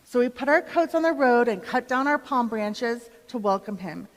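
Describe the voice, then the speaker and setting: Excited woman of ancient Bethany, played by a church performer, fairly high voice, storytelling straight to listeners in a reverberant hall. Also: moderate pace, natural voice. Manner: storytelling, addressing listeners directly. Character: excited woman of Bethany, Lazarus's sister, witnessing Jesus. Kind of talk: dramatic monologue in a church performance.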